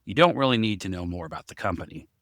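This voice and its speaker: A man's voice: low-pitched, lively intonation, fast, fairly bright tone, no room sound, studio quality. A man co-hosting a casual podcast discussion, talking as the writer of the story. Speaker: podcast co-host, story writer and amateur voice actor.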